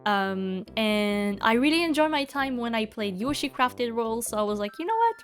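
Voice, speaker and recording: A woman's voice: fairly high pitch, lively intonation, normal speed, faint music behind. A young woman from Quebec with a Quebec French accent, speaking English as a second language in a chatty voice-over, full of ums and likes. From Quebec, Canada. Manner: chatty, many ums and likes, laughs often. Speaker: bullet journal YouTuber. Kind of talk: casual voice-over commentary.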